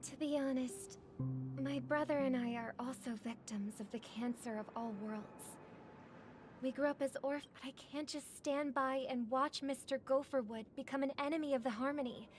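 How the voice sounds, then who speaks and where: Actress as gentle young female singer, high voice, earnest, polite, game dialogue, faint music. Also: steady tone, normal speed, close to an everyday voice. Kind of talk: video game voice acting.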